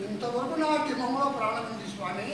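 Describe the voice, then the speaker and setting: A man's voice: very high voice, fairly quick, noisy background. A man voicing a loyal old bird king in a traditional puppet play, declaiming in a chanting theatrical way; recorded live, echoey and distant. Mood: anguished.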